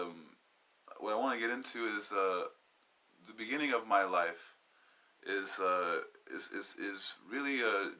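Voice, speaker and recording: A man in his twenties from Southern California, hosting a personal podcast monologue, halting and wandering as he searches for words. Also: lowish voice, pushed and bright, poor audio. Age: 20s. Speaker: podcast host.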